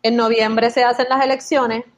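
Puerto Rican woman, lively storytelling. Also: on the high side, moderate pace. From Puerto Rico. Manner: lively storytelling, mixing English words into Spanish. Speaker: podcast guest sharing her experience. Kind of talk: casual chat.

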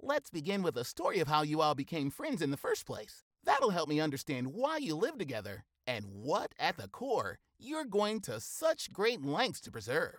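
An actress playing a jokey, nosy group therapist in an audio sitcom, effusive and giggly. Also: female voice, deep voice, wildly swooping pitch, normal speed, hardly any pauses, no room sound, clean studio sound, exaggerated voice. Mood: curious. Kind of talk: sitcom audio theatre acting.

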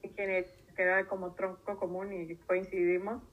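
A woman's voice: fairly low pitch, flat delivery, a little fast, phone-quality sound. A woman in her thirties with a northern Mexican accent, speaking casually as an interview guest. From northern Mexico, grew up in Tijuana. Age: 30s.

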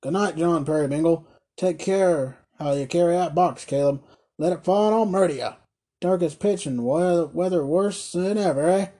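A man's voice: fairly high pitch, moderate pace, mellow, lightly acted. A man voicing a smug, domineering older male merchant in a storybook reading, curt and condescending. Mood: gruff, curt.

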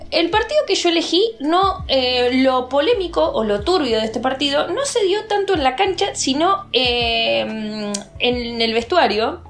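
A woman's voice: high-pitched, lively intonation, a little slow, soft background music. An Argentine woman co-hosting a casual podcast chat, talking easily with frequent hesitation fillers. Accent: Argentine Spanish. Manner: chatty, lots of hesitation fillers. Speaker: podcast co-host.

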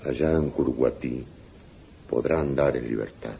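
A man playing a calm government secretary in a radio drama, measured and formal. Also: creaky. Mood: calm, informative. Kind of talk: 1980s radio drama acting. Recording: faintly noisy, rumbly old radio recording.

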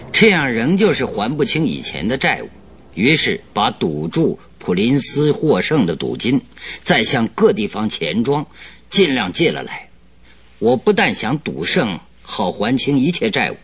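A man's voice: medium pitch, sing-song, measured pace, fairly bright tone, slightly rough, heavily acted. An actor playing a confident male detective in a radio drama, courteous and masterful as he lays out his deductions. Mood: confident, expository. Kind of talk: Chinese radio drama acting. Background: background music.

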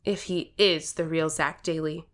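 A woman's voice: deep voice, fairly even intonation, a little slow. A woman playing an earnest, determined thirteen-year-old girl who tells her own story with urgency in an audio drama. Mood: tense narration. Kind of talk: first-person audio drama narration and acting.

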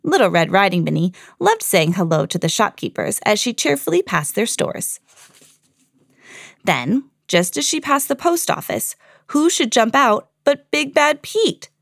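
A woman hosting a read-aloud podcast, narrating a scripted children's fairy tale in an expressive storytelling style. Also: a little high, a little slow, creaky, no echo, studio quality.

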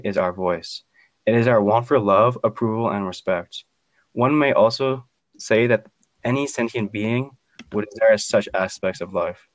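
A man's voice: lowish voice, no echo. A man hosting a podcast, reading a scripted introduction in a formal, grandiose manner. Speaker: podcast host.

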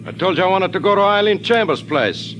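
A man's voice: high-pitched, expressive, a little fast. An actor playing a tough-talking businessman held at gunpoint in a 1950s radio drama. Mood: tense. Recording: old radio broadcast with faint hiss.

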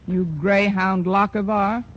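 An actress playing a dry, sarcastic, quick-witted schoolteacher in a 1940s radio sitcom. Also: female voice, mid-range voice, steady tone, lightly acted. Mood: wry. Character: wisecracking, sardonic female schoolteacher. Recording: noisy lo-fi vintage radio broadcast.

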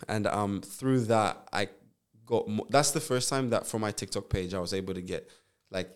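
Brief: very low-voiced young male guest from Zambia, easygoing and rambling. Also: moderate pace, clean tone. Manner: relaxed, rambling, many fillers and restarts. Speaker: guest, nurse and musician. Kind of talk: casual interview chat.

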